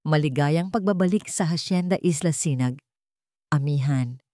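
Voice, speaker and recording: A woman voicing a tender, remorseful wealthy father in a soft, earnest, protective manner. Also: low-pitched, moderate pace, dry recording, clean studio sound, natural voice. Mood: gently teasing.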